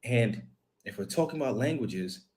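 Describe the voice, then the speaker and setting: A man with a New York accent speaks at a middle pitch. He explains himself in a clip from a video, choosing each word with measured care. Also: animated, darkish tone. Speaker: video creator.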